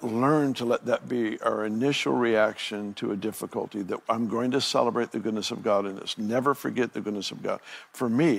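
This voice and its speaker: A man's voice: lowish voice, creaky, a bit bright. A man in his sixties, a pastor talking with a guest in an earnest, reflective way with frequent restarts. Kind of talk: conversational ministry interview.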